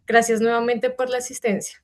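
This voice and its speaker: A woman offers a polite, grateful welcome over a video call. Her voice sits fairly high and stays level. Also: little pitch movement, a little fast. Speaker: director. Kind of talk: welcome speech.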